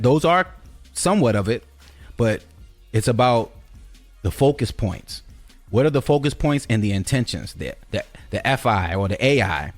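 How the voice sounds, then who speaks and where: A man's voice: mid-range voice, darkish tone. A man hosting a podcast, talking conversationally about spiritual and cultural ideas, emphatic and repeating key phrases for effect. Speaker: podcast host.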